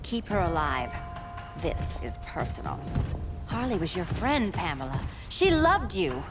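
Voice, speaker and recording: A guarded, defiant thief heroine of a video game. Her voice sits fairly low and moves freely in pitch, terse and wry. Loud dramatic music runs underneath. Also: sing-song, measured pace. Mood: urgent. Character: tough, loyal cat-burglar antiheroine, guarded and defiant. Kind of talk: video game cutscene acting.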